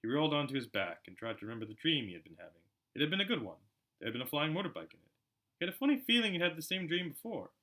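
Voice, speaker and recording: A man reading aloud as an amateur narrator, expressive and storybook-like, with a chatty side. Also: a little high, rapid-fire. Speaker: amateur audiobook reader.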